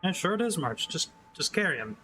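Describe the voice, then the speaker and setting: A man's voice: high-pitched, big pitch swings, fairly quick, over music. A man giving casual, wry and sarcastic livestream commentary on a game. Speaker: game streamer.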